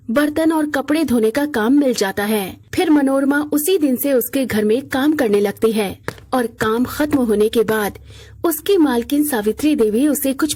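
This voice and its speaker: A woman with a high voice tells a moral story. She reads it as scripted narration, in the role of storyteller. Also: darkish tone, slight background noise.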